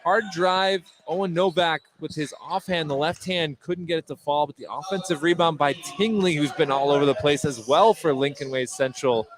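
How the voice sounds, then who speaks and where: Higher-voiced man calling basketball play-by-play. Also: fairly even intonation, moderate pace, few pauses, a crowd in the background. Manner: rises to shouts on big plays. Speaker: play-by-play announcer. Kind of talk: live basketball radio commentary. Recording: gym noise behind the voice. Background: basketball game in a gym, bouncing balls.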